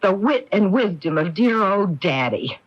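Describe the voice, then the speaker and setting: An actress playing a middle-aged sister in a radio mystery, sweet on the surface but defensive, with an emphatic, theatrical delivery on a radio broadcast recording. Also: female voice, mid-range voice, very animated, a little slow, lo-fi sound. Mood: bitter, defiant. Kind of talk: old-time radio mystery drama acting.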